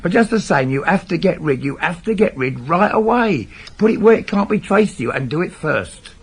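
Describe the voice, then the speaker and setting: A man's voice: sits high, animated, fairly quick, husky, exaggerated voice. An actor playing a controlling middle-aged English burglar who instructs his gang insistently, in a naturalistic radio drama. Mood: persuasive. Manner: instructive, insistent, repeats himself for emphasis. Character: wily, controlling veteran burglar, father running a small gang. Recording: noisy 1980s radio drama broadcast recording.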